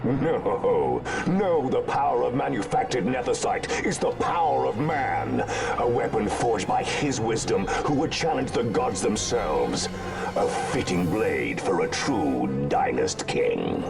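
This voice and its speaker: A fanatical imperial villain in a video game, gravelly and slow. He declaims in archaic phrases with huge, theatrical swings of pitch. A loud orchestral score plays behind him. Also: big pitch swings, heavily acted. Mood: contemptuous, fervent. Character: armored villain judge, fanatical zealot of a new empire. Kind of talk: theatrical game cutscene acting. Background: loud music.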